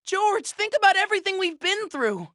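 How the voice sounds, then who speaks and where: A high, youthful cartoon boy hero, played by an actor. He is earnest, kind and encouraging, heard close and dry. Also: big pitch swings, moderate pace, fairly bright tone, dry recording, full character voice. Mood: pleading, hopeful. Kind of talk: animated game voice acting.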